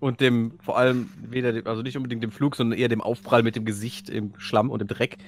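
A man's voice: medium pitch, level tone, fast. A man chatting casually as a player in an online tabletop role-playing game. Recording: online voice call, background music.

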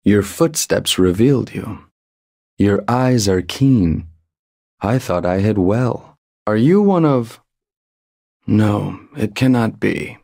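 A man's voice: fairly low pitch, lively intonation, slow pace, vocal fry, long pauses, warm tone, no echo, clean studio sound, exaggerated voice. A man playing a secretive assassin in a game, terse, wary and cool. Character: guarded, secretive, quiet male assassin. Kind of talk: game character voice acting.

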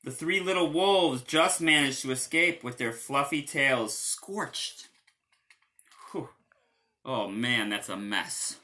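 A man, reading a children's storybook aloud in an animated style. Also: mid-range voice, slow pace. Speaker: storybook reader.

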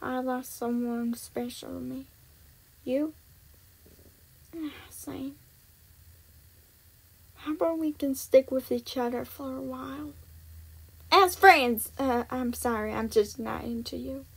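A woman's voice: sits high, fairly even intonation, takes it slowly, vocal fry, pauses often, husky, exaggerated voice. A woman voicing a young female pirate adventurer in amateur cartoon voice lines, earnest and dramatic. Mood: soft, caring. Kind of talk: animated character voice lines.

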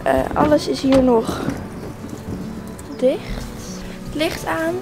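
A woman's voice: sits high, fairly even intonation, slow, gravelly. A young woman chatting casually for a horse vlog, with lots of fillers, filmed on a handheld camera. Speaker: vlogger and rider. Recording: music playing loudly behind.

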